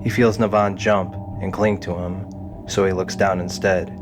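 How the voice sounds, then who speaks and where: Male narrator, lowish pitch, scripted dramatic storytelling, faint music behind. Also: little pitch movement, normal speed.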